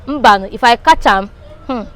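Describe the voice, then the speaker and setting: High-voiced Nigerian woman chatting. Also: animated, normal speed, fairly bright tone. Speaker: street interviewee. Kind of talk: animated casual chat in Nigerian Pidgin.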